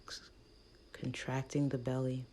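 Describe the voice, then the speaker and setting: Slow, very low-voiced woman guiding meditation. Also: completely flat delivery, a little hiss or hum. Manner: gentle, hushed delivery with long pauses between short phrases. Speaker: guided meditation leader. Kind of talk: guided meditation, soothing instruction.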